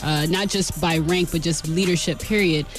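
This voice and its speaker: A man's voice: on the high side, fairly even intonation, normal speed. An American man hosting a morning radio show in a casual, chatty style. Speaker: radio DJ and host. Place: radio studio. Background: music.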